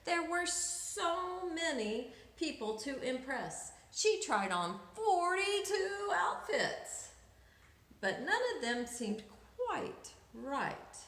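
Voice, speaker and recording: A woman reading a children's picture book aloud in an expressive, dramatic way with drawn-out emphasis. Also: high voice. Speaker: storyteller, teacher reading aloud. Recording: echoey room.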